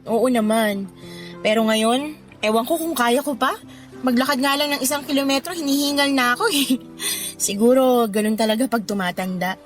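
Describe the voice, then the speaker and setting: A woman's voice: a little high, moderate pace, natural voice. An actress playing a discontented, flirty mother of thirty who confides in a Filipino accent, in a conversational radio drama style. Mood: wistful, chatty. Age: 30s. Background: background music.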